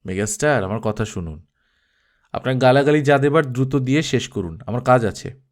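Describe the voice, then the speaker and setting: A man voicing a calm, commanding film director in an audiobook story, polite, unruffled and coolly sardonic. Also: mid-range voice, moderate pace, natural voice. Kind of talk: audiobook character acting.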